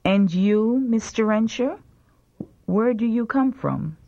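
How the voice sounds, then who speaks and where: A woman reading short prompt sentences slowly and clearly for a language drill. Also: fairly high pitch, animated, dark tone, muffled recording. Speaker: language lesson reader. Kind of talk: slow repeat-after-me language drill.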